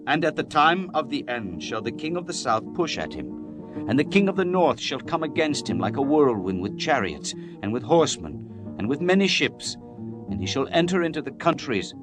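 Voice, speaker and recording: A man reads scripture as a dramatic narrator, solemn and declamatory. His mid-pitched voice rises and falls widely, with soft plucked-string music playing behind him. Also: very animated, moderate pace. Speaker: Bible narrator.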